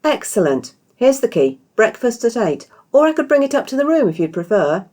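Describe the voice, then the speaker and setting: A British woman voicing a cheerful, scheming female innkeeper in a storybook, bright and polite with feigned innocence. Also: fairly high pitch, sing-song, brisk, pitched above the performer's own voice. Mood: bright, guileless.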